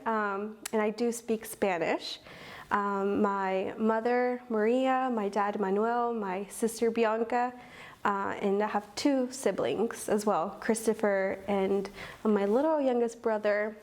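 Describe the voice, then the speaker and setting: A young woman in her twenties answers interview questions about her work earnestly. She explains things step by step, filling pauses with ums. Her voice sits at a middle pitch. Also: steady tone. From Owensboro, Kentucky. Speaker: guest, program coordinator.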